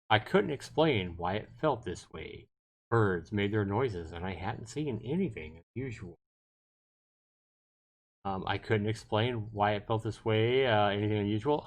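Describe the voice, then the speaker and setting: A man with a fairly low voice streams a visual novel, reading the text aloud for his viewers. His pitch moves around a lot as he slips between narration and casual asides. Faint game music plays behind him. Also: breathy edge. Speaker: livestream host playing a visual novel. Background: game background music.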